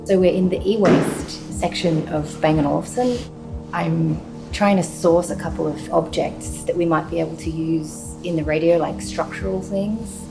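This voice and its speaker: A woman's voice: deep voice, normal speed, creaky, low-quality recording. A woman giving informal, chatty commentary as she works. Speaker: artist and workshop participant. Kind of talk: informal spoken commentary while working. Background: music behind the voice.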